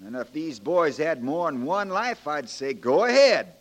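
An actor in a 1940s radio drama playing a young frontier lawyer, folksy, plain-spoken and wry but firm. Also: male voice, on the high side, expressive, measured pace. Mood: earnest, persuasive. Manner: folksy, plain-spoken, joking, homespun turns of phrase.

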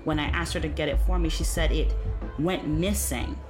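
A middle-aged police captain played by a woman speaks low, with music clearly behind her. She is brisk, wry and motherly, and used to getting her way. The delivery is natural audio drama dialogue with a folksy, persuasive push. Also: fairly even intonation, close to an everyday voice. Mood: confiding, persuasive.

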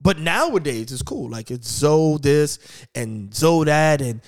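A man in his thirties from a Haitian-American family, hosting a casual podcast chat, animated and laughing often. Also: a little high, unhurried. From United States, Haitian family. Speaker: podcast host.

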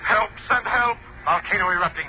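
A man's voice: high voice, normal speed, close to an everyday voice. A man playing a panicked crier of doom in short, repeated melodramatic cries, in 1930s radio horror style. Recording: dull, noisy 1930s radio recording, crowd.

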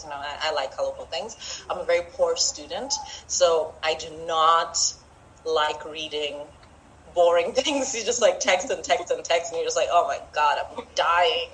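Chatty woman author, low voice. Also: a bit bright, slight background noise, low-quality recording. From Zimbabwe. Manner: chatty, laughs often, acts out quoted friends in a high voice. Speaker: author guest. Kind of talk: casual interview chat.

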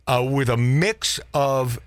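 A man's voice: mid-range voice, takes it slowly, smooth, no room sound. A middle-aged man hosting a radio show, chatting casually and telling rambling stories with chuckles. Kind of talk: casual studio chat.

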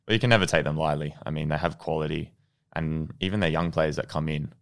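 A young Australian man with a very deep voice and a gravelly, creaky edge. He tells his story in a relaxed, rambling way, full of ums and restarts, and laughs easily. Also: fast. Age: 20s. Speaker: podcast guest, goalkeeper. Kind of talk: casual interview storytelling.

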